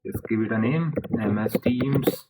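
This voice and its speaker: A man presenting a software tutorial step by step in an informal way, speaking haltingly in short phrases. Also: medium pitch, very noisy.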